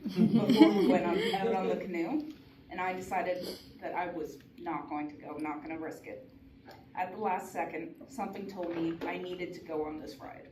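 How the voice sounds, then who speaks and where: A woman giving a prepared, earnest talk at a conference, with small restarts. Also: lowish voice. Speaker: conference speaker. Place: conference hall. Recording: echoey hall from far off, noisy.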